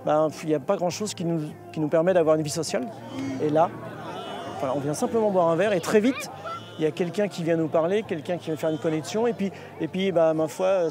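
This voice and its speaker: Easygoing man at an outdoor village gathering. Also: a little high. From France. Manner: easygoing, chatty with fillers. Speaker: musician. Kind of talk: news interview.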